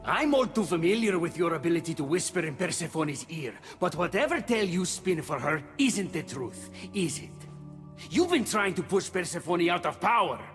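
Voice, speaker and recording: Casting: loyal, clever messenger god, lightly high, swooping pitch, game drama. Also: male voice, big pitch swings, moderate pace, fairly bright tone. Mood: accusing. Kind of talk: video game voice acting. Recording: long reverb, faint music behind.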